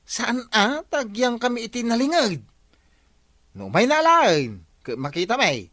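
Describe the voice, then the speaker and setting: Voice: medium pitch, sing-song, a little slow, a bit bright, no echo, clean studio sound. A performer playing an inquisitive, scheming village watch volunteer in a comedy radio drama, questioning and coaxing with a northern Philippine Ilocano accent.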